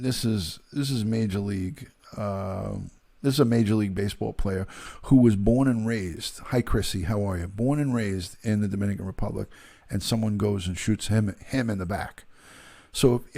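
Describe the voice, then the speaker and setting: A man, a retired detective, giving emphatic, halting live-stream commentary to his viewers. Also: on the low side, gravelly, warm tone.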